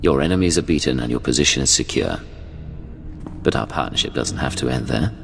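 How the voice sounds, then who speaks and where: A confident, commanding Imperial spy from a video game. His voice is very low with a creaky edge, and it is measured and unyielding. Music plays behind a long reverb. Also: animated, a bit bright, full character voice. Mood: smooth, confident. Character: cool, confident male Imperial secret agent. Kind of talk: dramatic video game dialogue.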